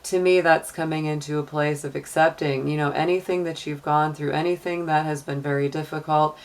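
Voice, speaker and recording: A woman with a low, deep voice that sounds a bit hoarse. She talks in a casual, conversational way as a tarot reader guiding listeners through an astrology reading on her channel. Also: steady tone, brisk. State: slightly hoarse.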